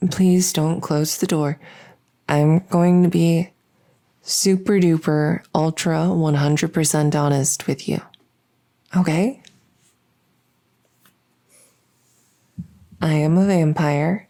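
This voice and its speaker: A woman playing an awkward, lonely female vampire in an ASMR roleplay, speaking in a halting, earnest, rambling way to the listener. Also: lowish voice, vocal fry, dark tone. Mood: glum, earnest.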